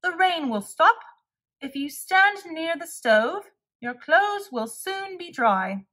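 A woman voicing a cheerful young male frog who tells a childhood story in an animated, emphatic way for small children. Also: very high voice, slow, pitched above the performer's own voice, exaggerated voice. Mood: friendly, reassuring.

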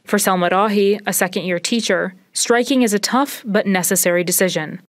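A young woman news correspondent delivering a scripted report in a measured, even way, with a handheld mic out on a city street. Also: mid-range voice, dry recording, clean studio sound.

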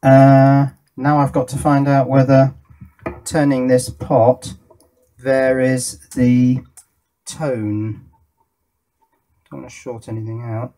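A middle-aged man presents as a hobbyist at a workbench, in an average-pitched voice. His talk is casual and hands-on. He thinks aloud and puts questions to himself as he goes. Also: little pitch movement, slow, pauses often, mellow. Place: indoor workbench.

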